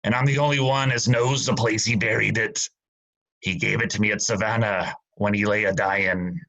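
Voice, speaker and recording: A man voicing an old drunken pirate captain who talks in gruff sailor dialect and pleads fearfully. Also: fairly low pitch, steady tone, normal speed, bright, forceful tone, poor audio. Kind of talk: student radio play acting.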